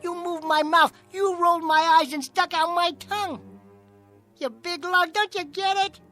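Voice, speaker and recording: A man's voice: extremely high pitch, steady tone, normal speed, sharp tone, soft background music. A man voicing a living ventriloquist's dummy, a cocky, menacing wisecracker, in a radio drama. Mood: bitter, gloating. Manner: taunting nightclub-comic patter.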